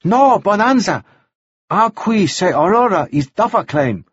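Calm goldfield interpreter, acted by a man, broken English, high and husky, audiobook role. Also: measured pace, muffled recording, full character voice. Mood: flat reporting. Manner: broken English, short pleasant replies. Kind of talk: audiobook character voice.

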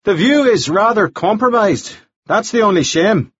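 A man voicing a genteel, talkative gentleman who speaks formally and politely, in a dull, dry recording. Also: high voice, expressive, normal speed, slightly rough, no room sound, pitched above the performer's own voice, full character voice. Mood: musing. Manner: formal, flowery, solemnly polite.

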